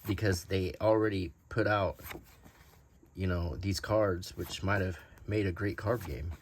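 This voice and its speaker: A man with a low voice rambles casually through an unscripted show-and-tell. He is unhurried and thinks aloud as he goes, with some noise behind him. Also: steady tone. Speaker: host showing vintage game cards.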